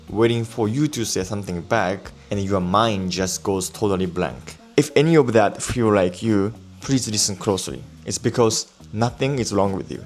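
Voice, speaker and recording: A man with a Japanese accent and a fairly low voice delivers a scripted online lesson. He explains things patiently and reassures the listener, sounding calm and steady. Soft background music plays faintly behind him. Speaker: Japanese teacher.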